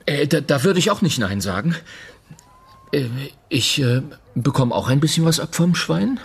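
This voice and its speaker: A man's voice: fairly high pitch, expressive, moderate pace, gravelly, breathy edge, heavily acted. A man acting in a German radio drama as an awkward, bumbling policeman, stammering and polite. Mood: awkward, hopeful.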